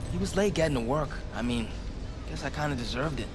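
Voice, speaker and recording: An actor playing an earnest, eager slum kid in a video game, slangy and a little unsure. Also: male voice, mid-range voice, expressive, fast, music in the background, natural voice. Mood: earnest, sheepish.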